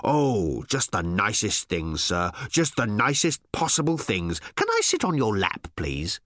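A man's voice: lowish voice, huge pitch swings, unhurried, a bit bright, dry recording, clean studio sound, heavily acted. A man voicing a small flying robot that fawns over everyone, breathless and swooning with delight. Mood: gushing. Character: gushing little flying robot, fawning, ecstatic.

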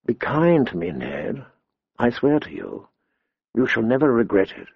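A man voicing a proud, scheming queen in an audiobook, taunting and scornful, with some lines dropped to a soft hush. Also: fairly high pitch, expressive, a little slow, no echo, highs cut off. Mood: seductive hush. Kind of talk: audiobook character voice.

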